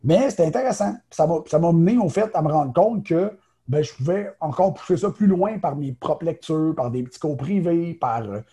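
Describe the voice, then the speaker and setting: Quebec French male guest, naturopath, casual, higher voice, very warm tone. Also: lively intonation. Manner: chatty, quick, many fillers and restarts. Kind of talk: casual interview talk.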